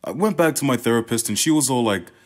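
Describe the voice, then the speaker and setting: A man playing a wry playwright, animated delivery. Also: mid-range voice, fast talker, lightly acted. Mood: wry, confiding. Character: wry, awkward, self-deprecating male playwright telling his story. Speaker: actor. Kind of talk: dramatic monologue in a student radio play.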